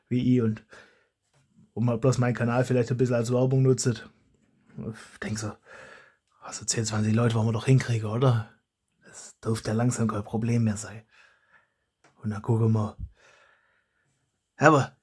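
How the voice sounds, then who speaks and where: Man, German dialect, husky, mid pitch, rambling vlog talk, self-correcting. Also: darkish tone. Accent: German regional dialect. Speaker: hobby channel host. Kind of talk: casual vlog monologue.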